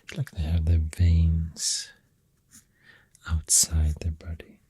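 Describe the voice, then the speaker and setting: A man with a Swedish accent speaking softly in a halting, half-whispered ASMR ramble, close to the microphone. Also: a bass voice, completely flat delivery, dry recording. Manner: hushed, breathy, half-whispered. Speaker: ASMR host. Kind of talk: soft-spoken ASMR rambling.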